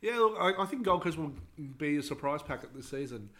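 A man's voice: fairly high pitch, very animated, fairly quick, fairly bright tone. A young Australian man co-hosting a casual podcast, laid-back with mock-serious asides. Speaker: podcast co-host. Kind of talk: casual chat.

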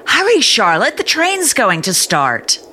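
Fond fussy mom in old radio play, high voice, slow n singsong, bit of noise. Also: female voice, wildly swooping pitch, pushed and bright, exaggerated voice. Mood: fond, hurried. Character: fond, fussy small-town mother. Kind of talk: old-time radio drama acting. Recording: noisy old radio drama recording.

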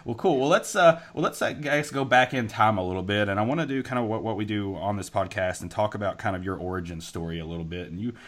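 A man with a lowish voice hosts a podcast interview. He talks quickly, affirms what his guest says, and leans on many "you know" fillers. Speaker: podcast host. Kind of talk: conversational interview.

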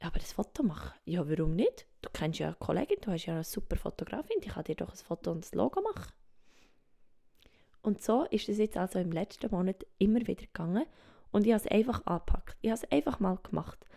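A Swiss woman speaking German with a Swiss German accent, hosting a reflective solo podcast, thinking aloud softly and often dropping to a whisper. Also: mid-range voice, sing-song, fast talker, vocal fry. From Switzerland. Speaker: podcast host. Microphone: home microphone.

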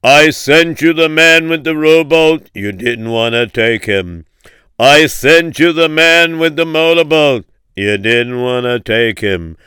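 A man putting on a stern, slow voice from heaven. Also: fairly high pitch, expressive, bright, forceful tone, smooth, no echo, clean studio sound, heavily acted. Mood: reproachful. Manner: slow, emphatic, reproachful. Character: stern male divine voice from heaven.

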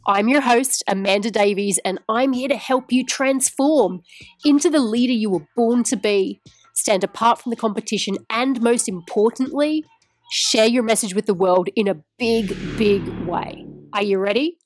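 A woman's voice: on the high side, moderate pace, soft background music. A woman host reading a polished, upbeat scripted intro for her podcast.

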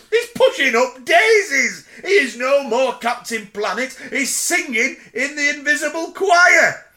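A man's voice: high-pitched, creaky, husky, pitched above the performer's own voice, heavily acted. A man voicing a fat, short-tempered male interrogator who bellows theatrically in a comic story reading. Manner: loud, theatrical, drawn-out shouting.

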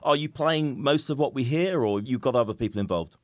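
A man's voice: mid-range voice, a little fast, no echo, studio quality. A man interviewing a musician, giving short prompts and questions.

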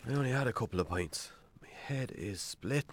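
An actor playing a defensive, hard-drinking Irish bachelor farmer in a naturalistic radio drama, colloquial and dryly sarcastic. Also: male voice, medium pitch, expressive, moderate pace, close to an everyday voice. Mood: groggy, defensive.

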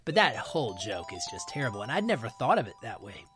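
A man's voice: mid-range voice, big pitch swings, quick pace. Opinionated man in casual talk over music. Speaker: podcast co-commentator on films. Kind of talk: casual film discussion.

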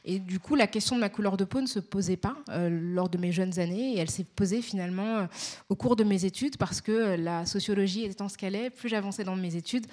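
A young woman with a pronounced Parisian accent, answering interview questions in a measured, articulate way with frequent hesitations. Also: medium pitch, darkish tone. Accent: Parisian French. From Paris, France. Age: young adult.

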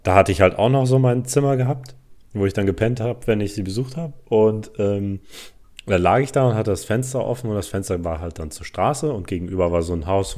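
A man's voice: deep voice, warm tone. A young man chatting as a podcast co-host, telling stories and ranting in colloquial, exasperated language with some swearing. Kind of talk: casual chat, anecdotes and ranting.

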